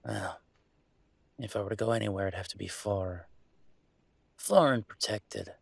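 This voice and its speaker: A man's voice: fairly low pitch, measured pace, vocal fry, dry recording. A man playing a restless young werewolf pack heir in an intimate audio roleplay, rambling conversationally with wry, wistful humor. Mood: troubled, resigned.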